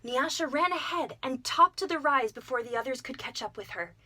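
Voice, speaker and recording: Young woman storyteller, warm read-aloud manner for children, pitched a touch high. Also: fairly quick. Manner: gentle, expressive storytelling. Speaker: storyteller, voice actor.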